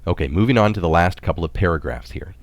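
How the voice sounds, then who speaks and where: Low voiced guy reading out a health article, explains as he goes, pretty animated pitch, dry sound. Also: quick pace, dry recording. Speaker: article reader adding his own notes.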